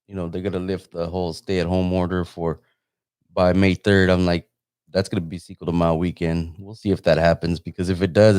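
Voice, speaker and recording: A man's voice: low voice, clear, resonant tone, no room sound, clean studio sound. A man hosting a casual live interview, talking in an informal, rambling way. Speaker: interviewer and host; photographer.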